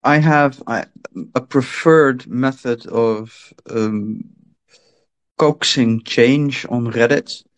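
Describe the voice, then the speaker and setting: An English man with a croaky voice, talking in a casual group voice chat as a Reddit moderator and stumbling over restarts. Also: medium pitch. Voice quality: croaky, gravelly voice. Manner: halting, restarts often.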